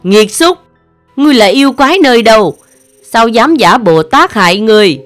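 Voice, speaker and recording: A woman's voice: on the high side, expressive, normal speed, no echo, natural voice. A stern Taoist priest voiced by a woman in a cartoon folk tale. The delivery is solemn and admonishing, with music behind it. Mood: commanding anger. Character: stern male Taoist priest, bearded exorcist.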